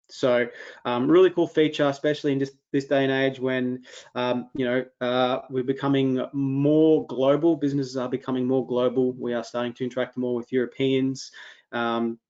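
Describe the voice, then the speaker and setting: A man walking through a software demo in a webinar, talking loosely with many ums and restarts. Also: mid-range voice, dull audio. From Australia. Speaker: product presenter.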